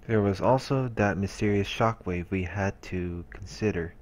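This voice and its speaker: A man's voice: low-pitched, moderate pace. A man reading first-person story narration aloud as the host of a visual novel playthrough.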